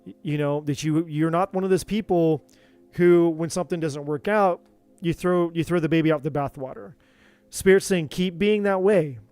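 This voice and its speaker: A man doing a live tarot reading, talking in an earnest, encouraging way with many repeats, his voice going a bit hoarse. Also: on the high side, normal speed, darkish tone, no echo, soft background music. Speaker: tarot reader.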